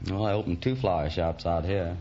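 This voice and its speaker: A man's voice: sits low, steady tone, a little fast. An American man answering quiz show questions as a contestant, in plain, brief, slightly hesitant replies. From Illinois, US. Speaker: quiz show contestant, motorcycle police officer. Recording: muffled, very noisy vintage radio recording.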